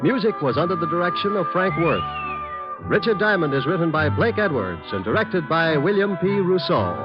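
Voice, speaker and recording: Male American announcer, fairly high voice, animated delivery, polished 1950s broadcast style, dull vintage recording. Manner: polished, formal, projected. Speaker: radio announcer. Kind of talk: 1950s radio announcing, scripted credits. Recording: dull vintage broadcast, loud theme music.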